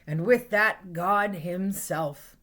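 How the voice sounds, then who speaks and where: A woman with a Canadian accent reading her own spoken-word poetry in an earnest, deliberate, dramatic way, in short phrases with pauses. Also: fairly low pitch, fairly bright tone. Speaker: poet reading own work.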